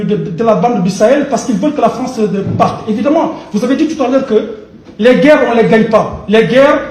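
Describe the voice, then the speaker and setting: A man with a high yet mellow voice makes his point from the floor of a debate, lecturing and insistent, tripping over and repeating his words. He is far from the microphone in a reverberant conference hall, with faint noise behind him. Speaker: pan-African activist. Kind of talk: impassioned audience remarks in a debate.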